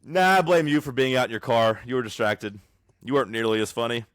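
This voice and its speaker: Brief: male podcast co-host, loud and teasing, mid-range pitch, dry close mic. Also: fast talker, smooth, no echo. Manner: loud, teasing, jumps in with bits. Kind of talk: casual banter. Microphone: own microphone, recorded remotely in an app.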